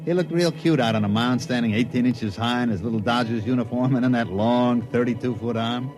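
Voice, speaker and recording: A man's voice: mid-range voice, a little fast, natural voice. An actor playing a wry baseball team man who tells a tall tale in a colloquial, folksy way in an old radio drama. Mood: wry recounting. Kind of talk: 1950s radio drama acting, folksy narration. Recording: vintage radio broadcast with music.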